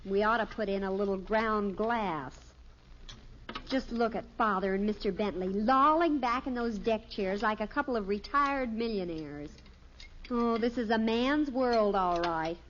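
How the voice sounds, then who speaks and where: A woman's voice: mid-range voice, measured pace, close to an everyday voice. A woman playing an exasperated, sarcastic American teenage daughter in 1950s radio sitcom style, on a muffled, hissy recording. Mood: sardonic grumbling. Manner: sarcastic, grumbling.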